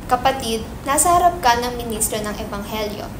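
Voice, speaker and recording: An imperious church minister, played by a woman in a dramatic reading, with a fairly high voice and formal, preachy delivery. The pompous, demanding speech is captured in an echoey room with clear background noise. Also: expressive, fairly quick, close to an everyday voice. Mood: preachy, commanding.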